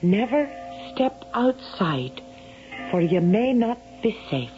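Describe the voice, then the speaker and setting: An actress playing an earnest, curious young daughter in a dramatic 1970s radio drama style. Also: female voice, mid-range voice, sing-song, slow, music in the background. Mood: solemn, caring. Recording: dull, noisy vintage radio recording.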